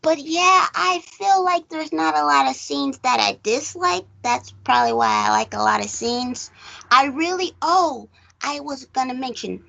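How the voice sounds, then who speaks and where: A woman's voice: sits high, unhurried, gravelly, fairly bright tone, lo-fi sound. An American woman hosting a casual movie chat, rambling and hedging as she goes, with frequent filler phrases. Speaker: podcast host.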